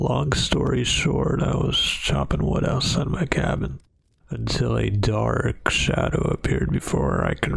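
A man playing a brooding, remorseful werewolf who is a tender, hesitant lover, speaking softly and haltingly in an intimate roleplay ASMR monologue, husky and often near a whisper. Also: medium pitch, exaggerated voice. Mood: haunted, remorseful. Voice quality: gravelly, husky, breathy.

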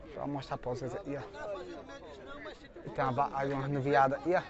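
A man's voice: mid-range voice, level tone, a little fast, over a noisy crowd. A man with a Northeastern Brazilian accent hosting a casual, folksy vlog outdoors, often tagging his phrases with small questions. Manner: folksy, repeats prices back, tag questions. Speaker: vlog host. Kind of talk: casual livestock market tour, asking prices. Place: outdoor cattle market pens. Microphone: handheld phone, behind the camera. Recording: dull outdoor phone audio.

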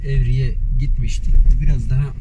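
A man's voice: flat delivery, normal speed. A man reporting on location as a news correspondent, describing what is around him. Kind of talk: live on-location reporting, narrating what is seen. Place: outdoors in a village, partly from a moving vehicle. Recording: distant mic, loud outdoor vehicle rumble.

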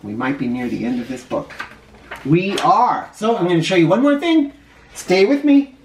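A man's voice: high voice, sing-song, moderate pace, a little mellow, slight background noise. A middle-aged man teaching young children and reading a picture book aloud, explaining simply and stressing key words. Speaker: first grade teacher. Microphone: camera a short distance away. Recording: roomy, distant camera microphone.

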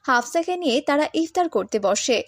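A woman's voice: sits high, lively intonation, a little fast, dry recording, clean studio sound. A woman reading scripted narration for a children's moral story.

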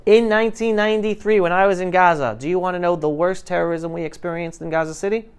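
A man of about fifty giving an informal, emphatic talk to high school students, putting questions to them. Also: fast, clear, resonant tone. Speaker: guest speaker. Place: classroom, indoors. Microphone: lapel mic.

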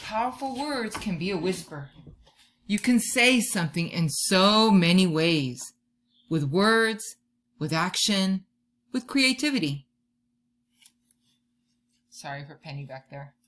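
A woman in her thirties doing an expressive children's read-aloud, drawing out key words like a teacher talking to young listeners. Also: on the low side, takes it slowly, pauses often. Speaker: teacher reading a picture book to children. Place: bedroom or room at home, indoors.

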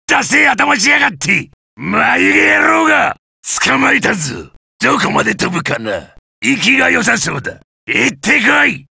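A man voicing a brutal, sadistic giant golem warrior in a video game, with a rough, gravelly, coarse and taunting delivery. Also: very high voice, bright, forceful tone, dry recording, clean studio sound, exaggerated voice. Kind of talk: video game battle voice lines.

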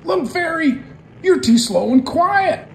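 A cocky picture-book speedboat, acted out by a man in a voice pushed very high. It is dismissive and taunting, heard in an echoey room. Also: expressive, a little slow, creaky, a little hiss or hum, heavily acted. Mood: mocking. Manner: high-pitched, belittling.

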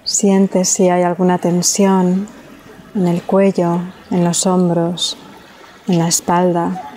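A woman from leads a guided meditation in a calm, soothing way, leaving long pauses between phrases. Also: on the low side, low-quality recording.

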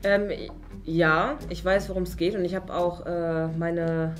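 A woman's voice: fairly low pitch, fairly even intonation, a little slow. A young woman playing a suspect, casually improvising her explanation. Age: young adult. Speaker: suspect in a game show. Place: indoor studio room at a table.

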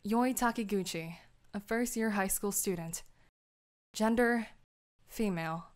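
A woman voicing a cool, princely teenage high-school girl in a manga dub, reserved, understated and polite, with a rather low voice. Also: unhurried, natural voice. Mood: reflective. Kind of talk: manga fandub acting with inner narration. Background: music.

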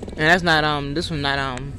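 A woman's voice: low-pitched, steady tone, moderate pace, bright, forceful tone. A woman vlogging casually while shopping, talking with lots of ums and hesitations. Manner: chatty, hesitant with many ums. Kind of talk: casual family vlog chat. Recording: noisy phone recording.

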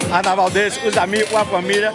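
A cheerful middle-aged man chats quickly in a fairly high voice into a handheld mic at a carnival at night. Loud music plays over everything. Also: level tone. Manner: cheerful, chatty. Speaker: carnival guest. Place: outdoor carnival box at night. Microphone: handheld mic held by interviewer.

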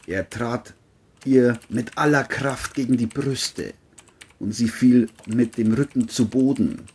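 Husky, slow-paced male narrator. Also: medium pitch, dark tone. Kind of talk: scripted crime story narration.

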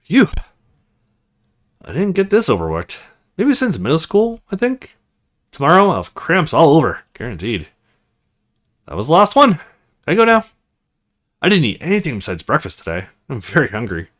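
A man's voice: on the high side, huge pitch swings, moderate pace, pauses often, a bit bright. A man voicing an awkward young male recruit in a visual novel, hesitant and grumbling to himself.

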